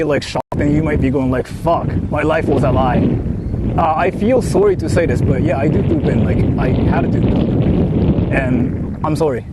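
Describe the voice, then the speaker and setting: A young man with a Japanese accent rambles through a casual live stream, joking and circling back with fillers and repeats. His voice sits a bit high for a man. Loud wind buffets the phone mic outdoors.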